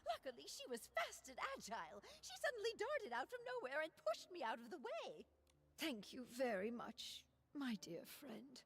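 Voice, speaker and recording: A woman voicing a gracious, unflappable elder lady in an anime-style game, with a dramatic drawl and husky, breathy tone. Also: sits high, big pitch swings, moderate pace. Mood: gracious, theatrical.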